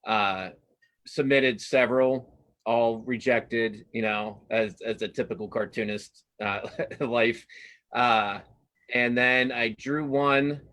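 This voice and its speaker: A man's voice: medium pitch, fairly even intonation, takes it slowly, a bit bright. A man chatting casually as an interview guest, informal and self-deprecating. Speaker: guest cartoonist.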